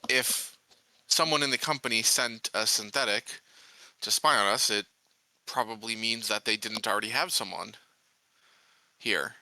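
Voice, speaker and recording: A man improvising in-character dialogue in a tabletop roleplaying game, speaking in a measured, tentative way. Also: lowish voice, bright, forceful tone, no echo, low-quality recording.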